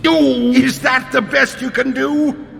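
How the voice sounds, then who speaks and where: Gravelly undead pirate villain, theatrical and gloating, slow-rolling delivery, cavernous reverb. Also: male voice, extremely high pitch, level tone, over music, exaggerated voice. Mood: mocking bluster. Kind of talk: video game character acting.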